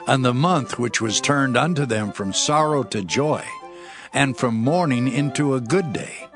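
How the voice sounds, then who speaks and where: A royal decree in a formal, proclaiming way. His pitch rises and falls in a lively way. Clear music plays underneath. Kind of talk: dramatized scripture reading.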